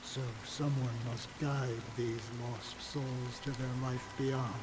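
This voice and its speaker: An actor voicing a world-worn older male ranger in a game cutscene, speaking slowly and reflectively with quiet resignation. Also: medium pitch, flat delivery, very noisy, natural voice. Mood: weary. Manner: slow, reflective, heavy with resignation. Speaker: game character. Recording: game audio with rain falling behind.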